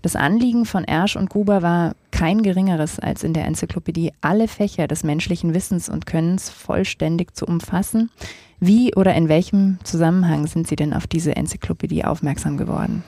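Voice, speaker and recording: Mellow, low-voiced female radio interviewer. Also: a little fast, dry recording, studio quality. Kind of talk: measured scripted introduction and interview questions.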